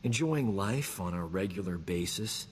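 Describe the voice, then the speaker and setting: An actor playing a boastful, smooth-talking combat medic in a video game, joking and flattering himself. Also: male voice, sits low, unhurried, big-room sound, a little hiss or hum. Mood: cocky. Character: cocky boastful man, a frontier combat medic. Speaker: companion character in a video game. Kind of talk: video game character dialogue.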